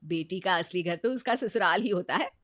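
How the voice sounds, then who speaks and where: A woman voicing a middle-aged mother in a story, advising her daughter in a gently admonishing, motherly way. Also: lowish voice, expressive, moderate pace. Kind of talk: animated Hindi moral story dialogue.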